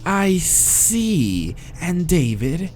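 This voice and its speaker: A man voicing a courteous, grinning male monster assistant in a visual novel, with drawn-out, unhurried speech. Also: fairly high pitch, big pitch swings, a little mellow, slight background noise, pitched above the performer's own voice, heavily acted. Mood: smooth, oily. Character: polite, grinning, slow-spoken monster assistant named Vec, male.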